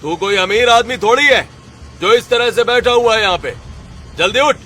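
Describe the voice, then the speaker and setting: A man voicing a cruel factory owner, scolding and threatening loudly, in a cartoon story. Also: extremely high pitch, moderate pace, faint music behind, voice pushed up. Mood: angry, contemptuous. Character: cruel bullying male factory owner, contemptuous. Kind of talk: Hindi cartoon story dialogue.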